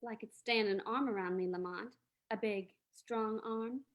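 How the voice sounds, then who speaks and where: A detective's flirtatious sidekick played by a woman in a vintage radio mystery. Her voice is fairly high, and she is coy, playful and inquisitive. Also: moderate pace, natural voice. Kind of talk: old-time radio drama acting.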